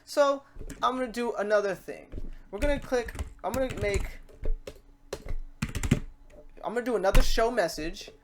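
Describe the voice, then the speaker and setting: A woman hosting a casual software tutorial, talking through each step aloud in an informal, playful way. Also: fairly high pitch, moderate pace, long pauses, background noise. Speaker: tutorial host.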